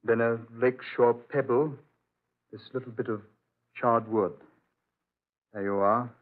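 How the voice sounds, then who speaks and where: A man's voice: medium pitch, steady tone, natural voice. Man playing a guarded doctor, muffled radio sound. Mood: evasive. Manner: brisk, firm, evasive. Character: reassuring but guarded doctor, protective father on remote island. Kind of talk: old-time radio drama acting. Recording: muffled narrowband old radio recording.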